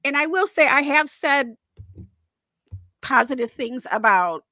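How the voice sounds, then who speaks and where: A woman from Wisconsin with a Wisconsin accent, co-hosting a casual football chat, chatty and quick to laugh. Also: high-pitched, animated, pushed and bright, dry recording. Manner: chatty, laughs often, many fillers. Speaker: podcast co-host.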